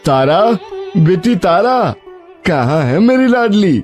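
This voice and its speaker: An actor playing a poor, honest labourer and tender, sorrowful father in an animated moral story, speaking gently and earnestly. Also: high-pitched, slow, soft background music, exaggerated voice.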